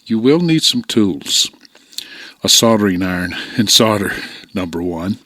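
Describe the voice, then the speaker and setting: An older man giving informal instructional narration in a plain-spoken, unhurried way, close to a desktop microphone. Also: on the low side, a bit bright, no echo. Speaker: radio hobbyist presenter. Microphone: close desktop microphone, voice-over.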